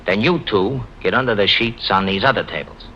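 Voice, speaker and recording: An actor playing a brisk, crafty, commanding male detective in clipped 1950s radio drama style. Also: lowish voice, bright, forceful tone. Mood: sly instruction. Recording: dull, noisy old radio recording.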